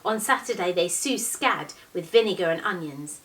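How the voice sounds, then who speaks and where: A woman in her thirties, a teacher, reading a picture book aloud expressively with measured, deliberate storytelling phrasing. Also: lowish voice, lively intonation, fairly bright tone. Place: small living room, indoors, on a sofa. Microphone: camera a few metres away. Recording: distant microphone in a living room.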